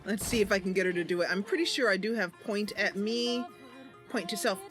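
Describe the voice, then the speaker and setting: A woman's voice: mid-range voice, fairly quick, over music. A woman streaming a game live, narrating it casually to her viewers. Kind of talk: casual gameplay livestream narration.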